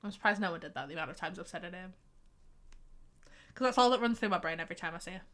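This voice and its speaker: A woman's voice: on the low side, very fast, fairly bright tone. A young woman with a light Yorkshire accent, chatting and sighing playfully while streaming a game. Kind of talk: casual gameplay commentary.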